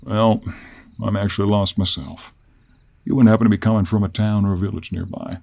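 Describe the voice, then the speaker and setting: A man voicing a confident, sly cowboy monster hunter with a gravelly voice and an American Western accent in a fantasy audio roleplay. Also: on the low side, fairly even intonation, a little fast, dark tone. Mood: sly, polite.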